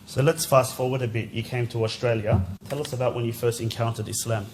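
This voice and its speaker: A man interviewing a guest, asking calm, direct questions. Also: mid-range voice, quick pace, slight background noise, rough recording.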